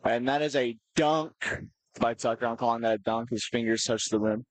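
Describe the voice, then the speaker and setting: A man commenting on a school basketball game in a relaxed, rambling way, full of fillers. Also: mid-range voice, normal speed, no room sound. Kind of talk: casual sports commentary.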